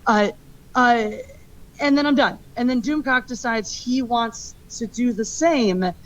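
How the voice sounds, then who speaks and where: A woman streamer and stand-up comic ranting in a rambling, petty and animated way. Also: fairly high pitch, measured pace. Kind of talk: clip of a livestream rant. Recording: muffled clip with faint noise.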